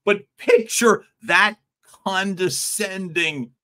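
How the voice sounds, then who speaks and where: A middle-aged man coaching on communication in a live stream, loud and expressive, joking with his viewers, speaking from a room at home into a studio mic. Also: high voice, takes it slowly. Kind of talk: livestream coaching talk. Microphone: large studio mic in front.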